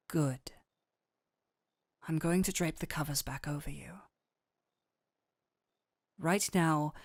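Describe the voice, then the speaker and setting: A woman playing a gentle but firm, caring fantasy doctor in an intimate roleplay audio, speaking softly and earnestly to the listener. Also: on the low side, no echo, studio quality. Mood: soothing, hushed. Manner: soft, soothing, unhurried, earnest.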